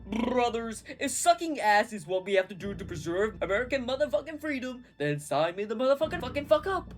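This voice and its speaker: Woman as a yelling frat-bro patriot dude, loud and pumped, high-pitched. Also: big pitch swings, fairly quick, soft background music. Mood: booming. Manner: booming, bro-ish yelling. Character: booming muscular frat-bro founding-father man.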